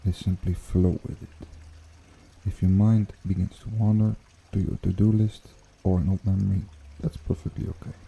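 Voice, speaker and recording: A man guides a meditation in a very mellow, breathy, husky voice with some creak at the edges. He speaks softly and slowly in an ASMR style, pausing gently between short phrases. Water trickles behind him. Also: low-pitched, flat delivery. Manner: hushed, unhurried, with gentle pauses. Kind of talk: ASMR guided meditation, slow soothing narration. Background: pond water bubbling and trickling.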